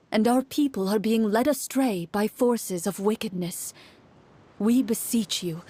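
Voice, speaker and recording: A woman playing a composed game master, narrating a fantasy scene in measured, articulate storytelling style. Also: medium pitch, darkish tone, close to an everyday voice. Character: composed young female tabletop game master. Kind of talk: scripted dramatic storytelling narration.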